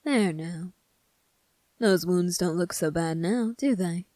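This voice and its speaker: A woman voicing a sly, teasing young prince in a scripted ASMR roleplay, speaking deliberately and smugly with calm command. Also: lowish voice, no room sound. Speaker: voice actor.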